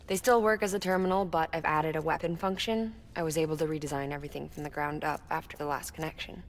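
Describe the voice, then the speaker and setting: A woman playing an earnest, candid engineer in a video game cutscene, speaking in measured phrases with tenderness and quiet sorrow. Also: low-pitched, steady tone. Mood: matter-of-fact. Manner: measured, explanatory, short phrases with pauses.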